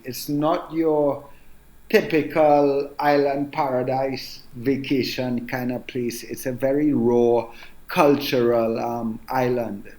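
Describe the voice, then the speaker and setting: A man's voice: mid-range voice, dark tone. Reflective Trinidadian man in an echoey room. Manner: reflective, unhurried, frequent "um" and "you know". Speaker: author guest. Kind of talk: casual interview conversation.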